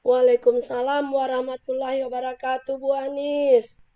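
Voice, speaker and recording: A woman teacher with a Central Sulawesi Indonesian accent, hoarse from a cold, politely and earnestly explaining her classroom struggles in a coaching conversation. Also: high voice, little pitch movement, normal speed, warm tone. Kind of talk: role-play coaching conversation.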